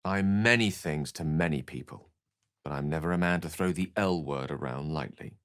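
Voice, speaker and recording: An actor playing a bookish, witty and earnest human wizard in a video game, with erudite, theatrical phrasing and a touch of melancholy. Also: male voice, extremely low pitch, moderate pace, a bit bright, clean tone, no echo, studio quality. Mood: thoughtful, earnest. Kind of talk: video game character voice lines.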